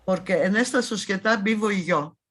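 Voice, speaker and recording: A woman's voice: mid-range voice, moderate pace, fairly bright tone. A woman in her seventies from Thessaloniki, speaking in an interview with a Salonica Judeo-Spanish accent, emphatic and insistent, often restarting her phrases. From Thessaloniki, Greece. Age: 70s. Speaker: guest historian. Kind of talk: conversational interview.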